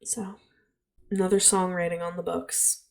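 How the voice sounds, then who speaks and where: Husky-voiced woman podcast co-host, unhurried. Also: lowish voice, little pitch movement, darkish tone. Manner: laughs often, chatty and opinionated. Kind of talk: casual chat.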